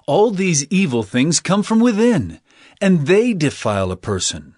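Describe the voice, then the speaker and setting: Casting: an authoritative, earnest holy teacher in Bible drama, animated pitch, slightly rough husky tone. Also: male voice, unhurried, dry recording, clean studio sound. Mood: stern teaching. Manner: earnest, declarative.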